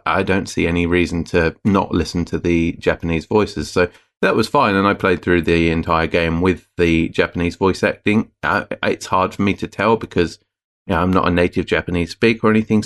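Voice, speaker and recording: A man co-hosting a game podcast, rambling through firm opinions in a casual chat. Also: low-pitched. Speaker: podcast co-host.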